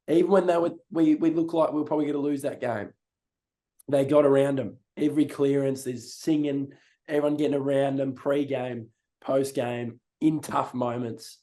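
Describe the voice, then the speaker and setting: A young Australian man in his early twenties, his voice worn hoarse, chats casually as a co-host. His tone is mellow and relaxed, and he rambles excitedly, often breaking into laughter. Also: medium pitch. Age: young adult. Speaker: podcast co-host. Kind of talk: casual chat.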